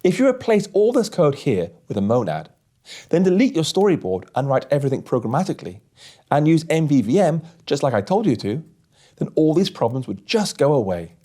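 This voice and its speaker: A man's voice: on the high side, very animated, brisk, dark tone. A man voicing a pushy, overconfident male tech lead in a storybook, with a breezy know-it-all manner.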